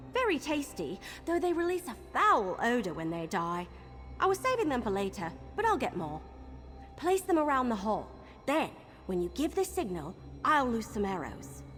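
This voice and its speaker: Animated, eager archer companion. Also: female voice, fairly high pitch. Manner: animated, conspiratorial. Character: resourceful, eager young female archer companion. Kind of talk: fantasy game dialogue. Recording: hall reverb, music behind.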